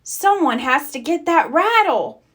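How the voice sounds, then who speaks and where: A woman's voice: high voice, lively intonation, a little slow, fairly bright tone, pitched above the performer's own voice. A woman voicing a confident, encouraging young boy team leader in a storybook, upbeat and earnest.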